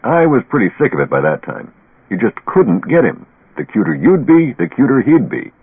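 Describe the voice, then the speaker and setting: An actor playing a streetwise, sardonic barroom storyteller in colloquial, dramatic radio-drama narration. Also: male voice, medium pitch, wildly swooping pitch, normal speed, husky, phone-quality sound, exaggerated voice. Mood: wry, exasperated. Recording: band-limited old radio recording, echoey.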